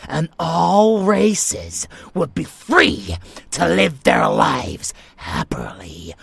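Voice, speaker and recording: A man voicing an unhinged, fanatical vampire lover in dark romance ASMR roleplay, theatrical and menacing yet doting, husky and often dropping to a whisper. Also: fairly high pitch, big pitch swings, dry recording, full character voice. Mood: grandiose, menacing. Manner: theatrical, savoring words, slow with dramatic pauses.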